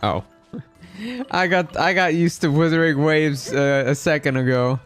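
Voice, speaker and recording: A man's voice: on the high side, a little slow, a bit bright. Casual male streamer, faint music behind. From Philippines. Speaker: livestreamer. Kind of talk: casual game-stream chat.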